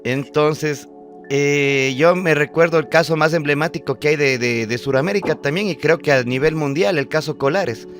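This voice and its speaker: A man's voice: fairly high pitch, moderate pace, a bit bright, soft background music. A man hosting an interview, attentive and giving brief affirmations. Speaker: channel host. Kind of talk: conversational interview.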